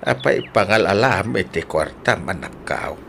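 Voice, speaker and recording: An elderly village father played by a man speaks in a very low voice for a comic radio drama. He is excitable and easily astonished, stretching out hesitant interjections. Faint ambient noise sits behind him. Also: a little slow, gravelly, full character voice. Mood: moved, apologetic.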